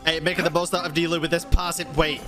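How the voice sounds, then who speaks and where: A mid-pitched streamer reacts casually to a game on a close mic, slangy and sweary, with faint game music underneath. The delivery is loose and irreverent. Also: flat delivery, fast.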